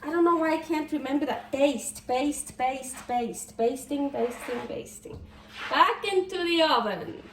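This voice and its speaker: Swiss lady talking thru her cooking in her kitchen, high voice, slow and chatty, echoey room, mic kinda far off. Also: fairly bright tone, a little hiss or hum. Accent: Swiss German. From Switzerland. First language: Swiss German. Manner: chatty, self-correcting, talks to herself while cooking. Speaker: cooking channel host. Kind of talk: casual cooking vlog. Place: home kitchen.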